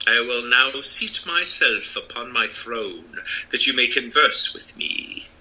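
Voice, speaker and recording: Man putting on a slow, solemn, grandiose wizard. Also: medium pitch, expressive, sharp tone, heavily acted, poor audio. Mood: solemn, imperious.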